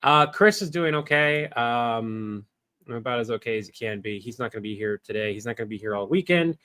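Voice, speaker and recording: A man's voice: mid-range voice, animated, fairly quick, pushed and bright, smooth, no room sound. A young man hosting a casual livestream, rambling to his chat with lots of fillers, from a small wood-paneled room on a desk webcam. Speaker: livestream host. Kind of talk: casual live-stream chat.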